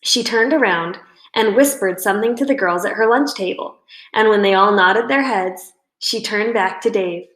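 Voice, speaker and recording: A woman reading a children's book aloud as a storyteller, in expressive scripted narration. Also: medium pitch, moderate pace. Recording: roomy indoor echo.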